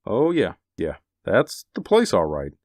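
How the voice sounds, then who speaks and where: Grumpy new building super, brushes people off, voice bounces around a lot. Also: male voice, sits low, wildly swooping pitch, a little slow, a little mellow, no echo, clean studio sound, heavily acted. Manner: dismissive and grumbling. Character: grumbling, evasive building superintendent. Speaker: building caretaker. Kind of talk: 1940s radio acting.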